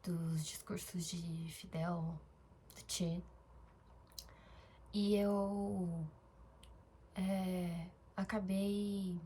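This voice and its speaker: A woman's voice: lowish voice, flat delivery, very slow, pauses often, mellow, a little hiss or hum. A young woman from Brazil talking casually in a diary-style vlog, rambling, with fillers and self-corrections. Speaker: vlogger.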